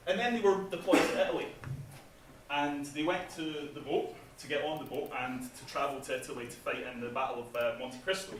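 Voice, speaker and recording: A man's voice: a little high, fairly quick. Man presenting in an echoey hall. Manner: chatty, laughs at his own jokes, many ums. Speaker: game developer presenting at an industry event. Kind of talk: casual conference presentation. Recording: echoey hall, speaker far from mic, faint noise.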